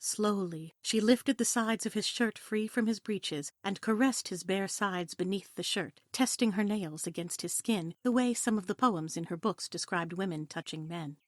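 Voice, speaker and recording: A woman's voice: medium pitch, moderate pace, hardly any pauses, no room sound, studio quality. A woman reading scripted story narration for an audio play in a dramatic storytelling style.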